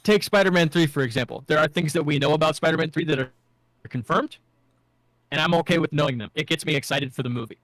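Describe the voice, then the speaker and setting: A man's voice: a little high, fast, a bit bright, no room sound. A man co-hosting a casual pop culture discussion, agreeable and explaining his points with examples. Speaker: podcast co-host.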